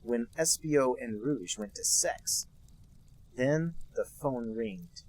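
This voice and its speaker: A man co-hosting a podcast, reading a bad fan story aloud in a deadpan, mock-serious way. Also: mid-range voice, takes it slowly, rough recording. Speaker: podcast co-host reading a fanfic. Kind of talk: comic dramatic reading.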